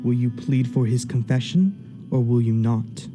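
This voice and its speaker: An imperious magistrate of a period radio play, acted by a man, speaks in formal archaic phrases. He is stern and unbending, used to command, with music clearly behind him. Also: medium pitch, fairly even intonation, measured pace, close to an everyday voice. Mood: stern, impatient. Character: stern, unbending male judge, imperious and impatient. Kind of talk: period stage drama acting in a radio play.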